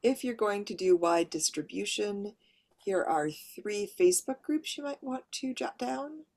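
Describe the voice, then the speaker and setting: A woman with a mid to lower voice who narrates audiobooks, giving a practical, conversational workshop presentation to writers. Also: lively intonation, unhurried, darkish tone. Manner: practical, conversational, explaining step by step.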